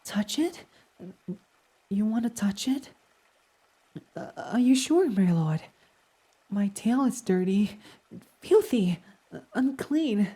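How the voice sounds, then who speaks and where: A man voicing a devoted, submissive fox boy servant in an intimate ASMR roleplay, reverent, hesitant and breathy. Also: high voice, big pitch swings, slow, pauses often, heavily acted. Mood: flustered. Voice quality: soft, breathy. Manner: reverent, self-deprecating, hesitant, breathy half-whispers.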